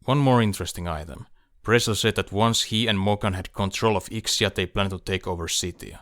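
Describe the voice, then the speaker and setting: A man acting a loyal military officer in an audiobook, briefing a superior in a measured, matter-of-fact way. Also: on the low side, a bit bright, no room sound, studio quality, natural voice. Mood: dutiful, factual. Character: loyal male military officer reporting to his commander. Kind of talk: fan audiobook character acting.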